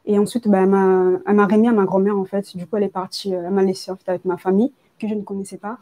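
A woman's voice: mid-range voice, level tone, very fast, dark tone. A nineteen-year-old woman from Congo who grew up partly in France, giving a personal testimony in a hesitant, colloquial way with repeated words. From Congo, moved to France at age nine. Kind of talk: personal testimony, informal spoken storytelling.

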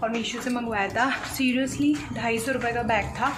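A woman's voice: fairly high pitch, normal speed, music in the background. A woman in her thirties chatting casually in a daily vlog, filmed on a phone at arm's length in a car and at home, rambling along and coaxing her son through homework. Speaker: vlogger and mother. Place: in a car, then at home indoors. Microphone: phone held at arm's length. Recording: phone vlog with steady background noise.

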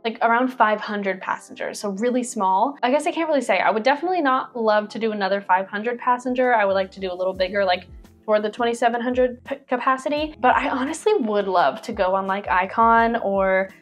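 A woman's voice: fairly high pitch, a little fast, faint music behind. Chatty young woman answering questions. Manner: chatty, reads questions aloud then answers freely, frequent "like" and laughs. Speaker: cruise ship performer and vlogger. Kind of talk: casual Q&A to camera. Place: small bedroom indoors. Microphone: clip-on lapel mic.

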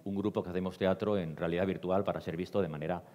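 A man's voice: sits low, steady tone, fast talker, darkish tone. A middle-aged man giving an informal panel presentation. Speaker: presenter, creative director. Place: dark small theatre stage, panel table. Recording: echoey hall reverb.

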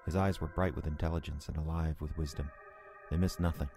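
Deep-voiced male storyteller over music. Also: steady tone, a little fast. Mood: reflective, fond. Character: reflective male storyteller, repairman recalling eerie past. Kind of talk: scripted first-person horror drama narration.

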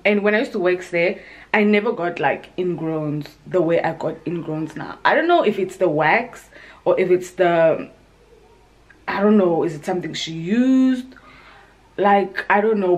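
A woman, chatting informally to camera and thinking aloud at home. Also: fairly low pitch. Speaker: vlogger. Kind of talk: casual chat to camera. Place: indoors, bedroom and bathroom at home.

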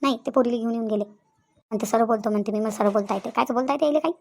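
A woman's voice: a little high, rapid-fire, a little mellow. A woman voicing a quarrelsome, nagging village wife and mother in a comedy cartoon, with a rural Vidarbha Marathi accent, scolding and repeating her complaints. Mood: scolding, aggrieved. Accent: rural Maharashtrian, Vidarbha.

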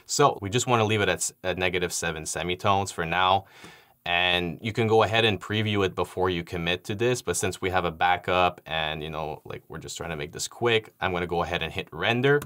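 Low-voiced young man teaching audio software. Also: a little fast, smooth. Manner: relaxed explanatory, frequent fillers. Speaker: audio editing tutor. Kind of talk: software tutorial. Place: dark studio with piano. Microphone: studio microphone on stand.

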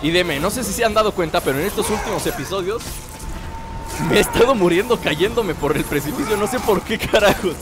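Young Mexican gamer, stuffy from a cold, high and animated, jokey let's-play host. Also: male voice, brisk. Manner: playful, jokey, bursts into excited shouts. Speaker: gameplay commentator. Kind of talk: casual let's-play commentary. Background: game music.

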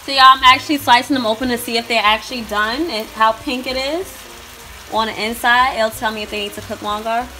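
A woman's voice: on the high side, fairly even intonation, a little fast, sharp tone, low-quality recording. A young woman in her home kitchen, chatting to viewers as she cooks and explains each step. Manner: chatty, addresses viewers as y'all. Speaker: vlogger cooking at home. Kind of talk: casual cooking vlog narration. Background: music and food sizzling.

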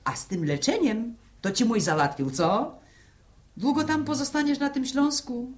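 An actress playing a brash, flirtatious village neighbour who loves gossip, in animated folksy speech for a 1960 radio serial. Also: female voice, a little high, normal speed, natural voice. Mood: chatty.

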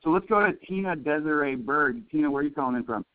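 A man moderating a conference call over the phone, casual and brisk as he moves callers along. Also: on the high side, lively intonation, no room sound. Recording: thin, narrow phone line.

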